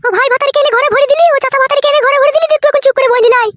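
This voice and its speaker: A woman playing a jealous, quarrelsome village wife in a comic cartoon, shrill and foul-mouthed, with a pitched-up voice. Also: steady tone, rapid-fire. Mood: scolding. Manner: shrill, scolding, repetitive insults.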